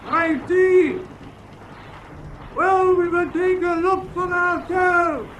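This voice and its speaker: Brusque German submarine commander, hailing in a very high, slow shout over faint engine noise. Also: male voice, fairly even intonation, heavily acted, poor audio. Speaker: actor. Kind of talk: radio drama acting.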